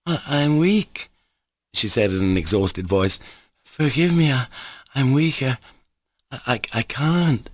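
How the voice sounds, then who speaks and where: A man voicing an emotional, drunken young woman who pleads in a halting, breathless way. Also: medium pitch, animated, breathy edge, no echo, studio quality, pitched above the performer's own voice. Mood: faint.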